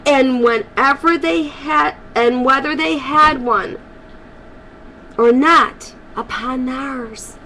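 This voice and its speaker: An older woman reading a storybook aloud to children, animated, pausing for emphasis. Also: sits high, a little hiss or hum, low-quality recording. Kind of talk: expressive storybook read-aloud.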